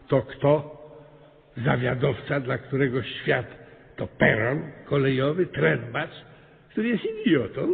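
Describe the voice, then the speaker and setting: A man playing a pompous, scheming small-town mayor in a radio comedy, speechifying with hesitant pauses. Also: mid-range voice, gravelly, slightly rough, phone-quality sound, full character voice. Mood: defensive, wheedling. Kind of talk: radio comedy drama acting.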